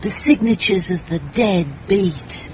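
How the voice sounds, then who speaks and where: An actress with a British accent playing a brisk, bossy, wry private investigator in a radio drama. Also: female voice, medium pitch, noisy background, close to an everyday voice. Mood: brooding. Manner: brisk, commanding, teasing. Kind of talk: radio drama acting with noir monologue.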